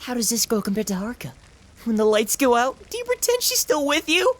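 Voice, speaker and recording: A woman's voice: a little high, big pitch swings, fairly quick. A woman voicing a taunting, sadistic male samurai villain in a visual novel, with theatrical, sneering delivery. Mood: cruel taunting.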